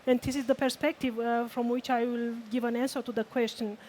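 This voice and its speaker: A woman's voice: on the high side, level tone, brisk, darkish tone, slight background noise, rough recording. Woman on a panel. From Romania. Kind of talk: panel discussion.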